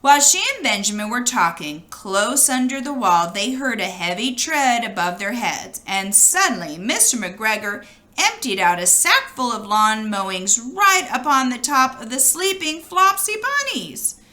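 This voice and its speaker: A woman reading a children's storybook aloud in an expressive, animated way, as if to young listeners. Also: fairly high pitch, unhurried. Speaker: storybook reader.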